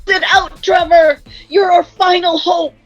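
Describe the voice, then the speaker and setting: A woman's voice: very high voice, fairly even intonation, unhurried, soft background music. A woman playing a blunt, sardonic ghost with dramatic flair in a table read, heard through lo-fi call audio. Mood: desperate. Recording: dull, lo-fi video call audio.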